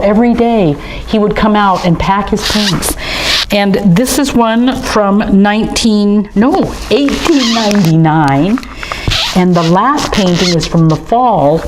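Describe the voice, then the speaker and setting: Slow, gentle storytime woman. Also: mid-range voice, a little hiss or hum, rough recording. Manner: gentle and enthusiastic, showing pictures to children. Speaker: storytime reader at an art museum. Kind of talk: storytime talk for children.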